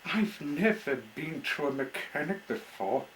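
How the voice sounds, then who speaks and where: Man putting on a high, wobbly little girl engine. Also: lively intonation, measured pace, a bit bright, reverberant, a little hiss or hum. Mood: tearful. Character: worried, tearful young female little blue train engine.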